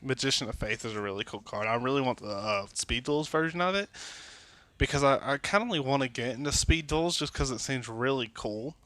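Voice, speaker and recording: A man rambles through a casual show-and-tell, tipsy and sniffly with a cold, full of fillers and restarts. His voice sits at an ordinary middle pitch. It is recorded close on a phone in a dry, dead-sounding room. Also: a little fast, bright, forceful tone, no room sound. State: tipsy from drinking. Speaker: card collector vlogger. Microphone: phone camera.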